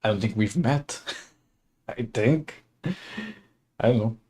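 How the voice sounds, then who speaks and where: A man's voice: on the low side, slow pace, warm tone, slight background noise. A young man from Slovakia streaming a game, chatting casually, laughing often and reading game text aloud. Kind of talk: casual gaming livestream chat. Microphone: desk mic.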